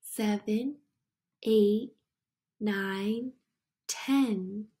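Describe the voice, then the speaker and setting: A woman reading a picture book aloud to young children in an expressive, deliberate storytelling manner. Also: medium pitch. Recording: music behind the voice. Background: music.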